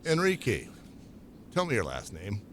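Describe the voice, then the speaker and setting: A man interviewing a guest in a relaxed, casual way and giving calm advice about microphone setup. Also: lowish voice, a little slow, slight background noise. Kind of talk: casual chat.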